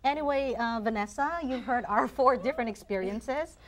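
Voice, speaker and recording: A woman's voice: on the high side, moderate pace. A woman from the Philippines hosting a studio panel talk show, friendly and teasing as she leads the talk.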